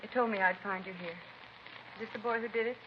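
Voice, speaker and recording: A woman's voice: medium pitch, fairly even intonation, brisk, natural voice. An actress playing a bereaved mother, bitter and anguished, pleading insistently in 1950s radio crime drama style. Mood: grieving, bitter. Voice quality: emotional, pleading, insistent delivery. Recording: dull, noisy vintage radio recording.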